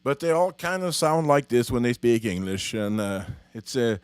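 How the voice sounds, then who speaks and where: A man's voice: mid-range voice, lively intonation, normal speed, smooth, dry recording. Rambling Norwegian-accented man, dry sound. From Norway. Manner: rambling, hesitant, joking. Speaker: host of a live music show. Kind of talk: casual livestream chat. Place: home studio.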